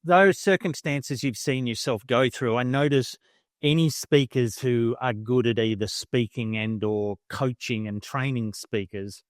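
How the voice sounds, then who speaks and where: A male podcast host asks thoughtful, probing questions and affirms his guest often. His voice sits at an average pitch for a man. It is recorded close to the mic, with a dry, dead sound. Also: dry recording. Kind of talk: interview conversation.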